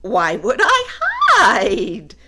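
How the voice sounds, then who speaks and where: A woman voicing a friendly plump male farm sheep, high and giggly. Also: huge pitch swings, drawn-out words, background noise, heavily acted. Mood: cheerful.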